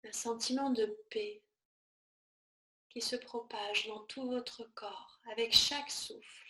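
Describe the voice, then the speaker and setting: A woman teaching yoga nidra, guiding a relaxation meditation in a soothing, measured manner with short, spaced-out phrases. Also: fairly high pitch, steady tone, bright, forceful tone, roomy sound.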